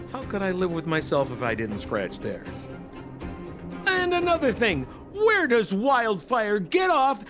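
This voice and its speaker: A man's voice: sits high, exaggerated voice. An actor playing a glib, roguish businessman in an English video game dub, theatrical, slick and wheedling.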